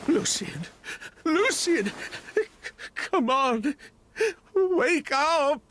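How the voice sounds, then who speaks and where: An actor voicing a distraught grieving man in a video game, stammering and halting, his voice breaking with tears. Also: extremely high pitch, expressive, a bit bright, slight background noise, exaggerated voice. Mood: desperate. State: crying. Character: distraught adult man, grieving merchant brother. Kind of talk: dramatic video game acting.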